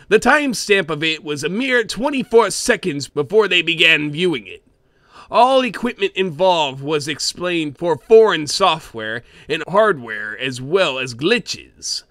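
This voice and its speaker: A man voicing a greedy, grumpy cartoon crab boss who reads a horror story in a theatrical, expressive way. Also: a little high, no room sound, studio quality. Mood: storytelling. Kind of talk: dramatic creepypasta reading.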